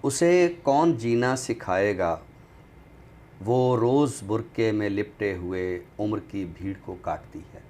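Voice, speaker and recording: A man reciting poetry on a literary show in a deliberate, expressive way, returning to refrains. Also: fairly low pitch. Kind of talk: dramatic Urdu poetry recitation, then book talk. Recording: clearly noisy background ambience.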